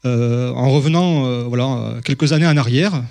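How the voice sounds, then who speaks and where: A man's voice: mid-range voice, moderate pace, creaky, a little mellow. A man from southern France giving a public mathematics lecture, hesitant, with many fillers and restarts.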